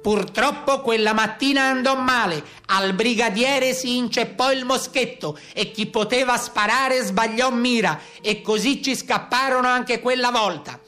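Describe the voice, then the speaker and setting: Man playing a high-voiced formal carabiniere. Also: measured pace, few pauses, bright, forceful tone, soft background music, heavily acted. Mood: grave, frustrated. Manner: formal, orderly report-like telling. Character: male carabiniere recounting a brigand manhunt, formal, aggrieved. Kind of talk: theatrical monologue.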